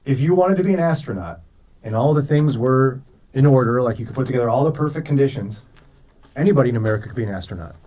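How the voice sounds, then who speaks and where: A man's voice: mid-range voice, lively intonation, fast talker, mellow, distant mic, highs cut off, slight background noise. A man delivers casual radio commentary as a co-host, making his points conversationally and nudging the listener with rhetorical questions. His voice sits a little away from the microphone.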